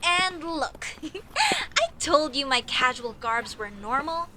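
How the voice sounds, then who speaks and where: Woman acting a high, bright young elf mage. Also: slow, a little hiss or hum, heavily acted. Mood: playful, proud. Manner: expressive, chatty, mock-indignant at times, softening to sincere. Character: earnest young female elf mage, proud, playfully teasing. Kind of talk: comedic audio roleplay, speaking intimately to the listener.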